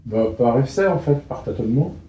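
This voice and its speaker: Deep, dark-voiced deadpan man. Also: a little fast, roomy sound, a little hiss or hum. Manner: blunt, deadpan, short answers. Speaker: guest, submissive partner. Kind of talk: casual chat.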